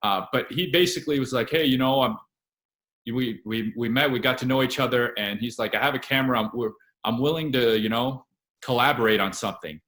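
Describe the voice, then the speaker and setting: A man in his late twenties, a guest actor, telling his story in a relaxed, steady way with a few fillers. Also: mid-range voice, a little fast, echoey room. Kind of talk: casual interview chat.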